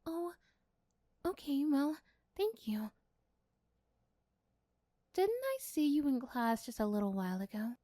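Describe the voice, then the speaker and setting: Woman voicing a high-pitched shy young class president. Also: big pitch swings, takes it slowly, long pauses, dark tone, dry recording, clean studio sound, exaggerated voice. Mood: shy, hesitant. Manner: hesitant, stammering, polite.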